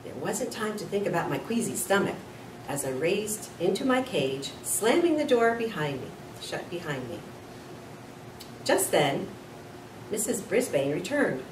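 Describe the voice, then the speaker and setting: A woman's voice: medium pitch, a little slow. A woman reading a children's storybook aloud expressively, as a school nurse would to pupils. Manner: expressive, with small slips and corrections. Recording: roomy, distant mic with faint noise.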